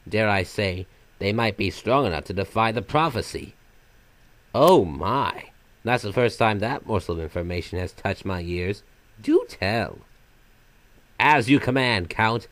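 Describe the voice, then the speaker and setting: A man's voice: fairly low pitch, a bit bright, no echo. A man voicing a flamboyant magical trickster villain from a video game, half silly and half sinister, with florid, mock-polite theatrical delivery. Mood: sly musing. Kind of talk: fan voice-over of video game villain lines.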